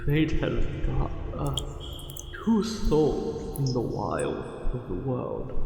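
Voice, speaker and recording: In a deep wash of reverb, a man plays a courtly, lovestruck merman who speaks slowly and seductively. His creaky voice lingers over formal phrases with possessive devotion, in the intimate style of ASMR roleplay. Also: big pitch swings, warm tone, full character voice. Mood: smitten, fervent. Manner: formal, deliberate, intimate, pausing between phrases. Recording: very echoey, long reverb, faint music.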